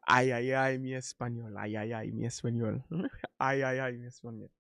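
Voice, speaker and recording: Haitian guy streaming, slow careful learner spanish, lots of restarts, dry mic. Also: mid-range voice, fairly even intonation, gravelly, a bit bright, dry recording. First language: not Spanish. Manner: halting, self-correcting learner Spanish, laughs often. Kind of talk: casual chat.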